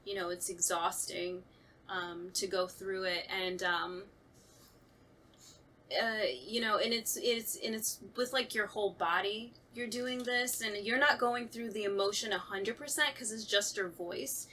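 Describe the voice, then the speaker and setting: A woman's voice: medium pitch, steady tone, measured pace, pushed and bright, slight background noise. A woman who acts in audio drama, chatting casually and self-deprecatingly on a talkback podcast. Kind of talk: casual chat.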